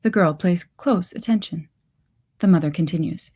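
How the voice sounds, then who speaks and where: A woman reading a literary short story aloud in measured, deliberate phrases. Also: on the low side, dark tone, breathy edge.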